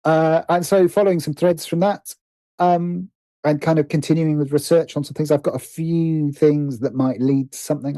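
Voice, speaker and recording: A man's voice: mid-range voice, mellow, dry recording. A British man speaking as a podcast guest in casual conversation, hesitant and thoughtful as he circles around his points. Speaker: podcast guest, writer and producer.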